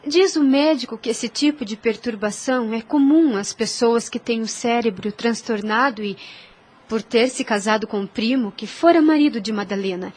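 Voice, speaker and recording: Woman acting a fairly high-voiced, gentle young nurse. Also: moderate pace, natural voice. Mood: composed, humble. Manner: formal, composed. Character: devoted, patient, gentle young nurse and servant. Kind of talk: religious audio drama acting.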